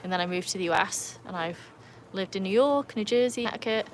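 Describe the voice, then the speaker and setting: An English-accented woman hosts a relaxed livestream outdoors beside a pool, chatting freely and laughing often as she reads out comments. Her voice sits at a mid pitch for a woman, and a faint outdoor hum runs underneath. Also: quick pace. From England. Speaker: livestream host. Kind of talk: casual livestream chat.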